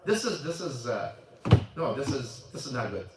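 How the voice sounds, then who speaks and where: Imam lecturing in an echoey mosque hall. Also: male voice, mid-range voice, sing-song, moderate pace, far from the mic, heavy background noise. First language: Arabic. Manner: informal, repetitive, asks the audience questions. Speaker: imam teaching a class.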